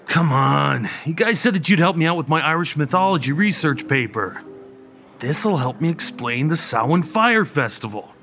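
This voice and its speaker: Excitable male college slacker, lively swooping delivery, music underneath, audio drama. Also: a little high, moderate pace. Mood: wheedling. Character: eager, wheedling male college student and stoner slacker. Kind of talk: audio horror drama acting.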